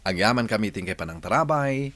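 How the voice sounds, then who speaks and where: A man's voice: lowish voice, expressive, fast talker, fairly bright tone, no room sound. A Filipino man hosting a radio drama program with scripted delivery. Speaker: radio drama host and advice giver.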